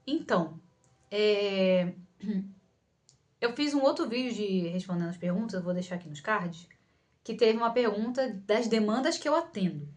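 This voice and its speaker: A young adult Brazilian woman with a Carioca accent, answering followers' questions in an informal, conversational way, often checking in with listeners. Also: on the high side. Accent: Carioca Brazilian Portuguese. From Rio de Janeiro, Brazil. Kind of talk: casual talk to camera, Q&A.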